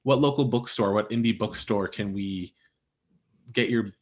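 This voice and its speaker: A man's voice: on the low side, unhurried. An American man speaking casually as the moderator of an author panel. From Scranton, Pennsylvania, United States. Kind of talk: casual event hosting. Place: remote online event.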